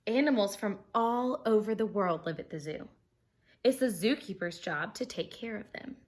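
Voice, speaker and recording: A woman's voice: mid-range voice, moderate pace. A woman teacher reading a children's picture book aloud expressively, explaining things simply and putting questions to her young listeners.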